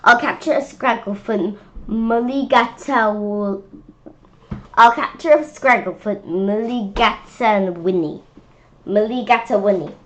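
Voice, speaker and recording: A woman's voice: medium pitch, takes it slowly, a bit bright, poor audio. A woman reading a rhyming picture book aloud informally, often stumbling over words and repeating phrases. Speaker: children's book read-aloud reader.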